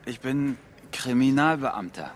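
An actor playing a self-satisfied young police detective, telling gossipy, animated stories in audio drama dialogue. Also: male voice, medium pitch, measured pace, close to an everyday voice. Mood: pleased, probing.

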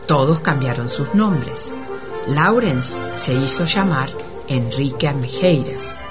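A narrator telling a comic radio play in a measured, mock-solemn scripted style. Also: mid-range voice.

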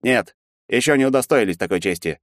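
A man voicing a cool aristocratic visitor who speaks softly, politely and in measured words, recorded dry and close. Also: medium pitch, animated, no room sound, pitched above the performer's own voice, natural voice.

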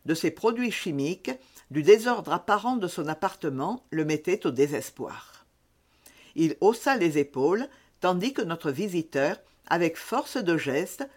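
Lively, expressive audiobook narrator. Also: medium pitch. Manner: measured, expressive storytelling. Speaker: audiobook reader. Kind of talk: scripted literary narration.

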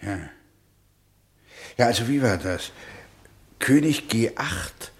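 An actor playing a stubborn, hot-tempered rich man in a radio play, with a forceful, weighty delivery. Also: medium pitch, lively intonation, takes it slowly. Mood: attentive, puzzled.

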